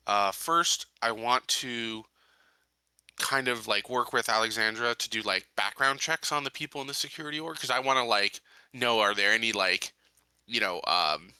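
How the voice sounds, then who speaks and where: A man's voice: mid-range voice, normal speed, pushed and bright, clear, resonant tone, no echo. A man chatting casually as a player in a tabletop game, hesitant and piecing his thoughts together with many fillers.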